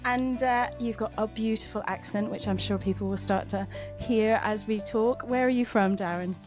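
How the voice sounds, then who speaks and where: A woman's voice: medium pitch, normal speed. An upbeat woman hosts a podcast. She is enthusiastic and laughs easily as she talks with her guest. Faint music plays behind her. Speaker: podcast host. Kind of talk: scripted podcast intro, then casual interview.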